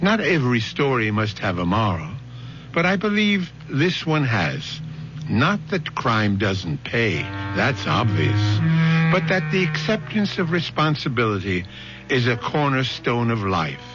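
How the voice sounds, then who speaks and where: A man hosting a radio drama, giving scripted narration in a measured, solemn, theatrical 1970s style. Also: mid-range voice, big pitch swings. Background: music.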